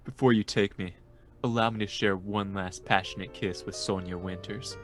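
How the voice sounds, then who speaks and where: A man's voice: fairly low pitch, steady tone, normal speed, over music, close to an everyday voice. A man playing a vain, melodramatic lover and dancer with a grandiose, theatrical delivery in an audio drama. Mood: pleading.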